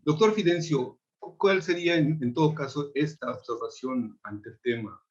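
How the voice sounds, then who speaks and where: A man moderating an online panel, halting and restarting often as he speaks. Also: a little high, lo-fi sound. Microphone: video call.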